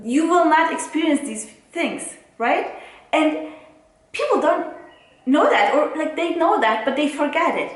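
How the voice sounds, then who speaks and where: A woman's voice: sits high, animated, a little slow. A woman from Turkey with a Turkish accent, giving a casual motivational talk to camera in a blunt, emphatic way, often checking for agreement. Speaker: self-care and productivity vlogger. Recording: very echoey, reverberant room.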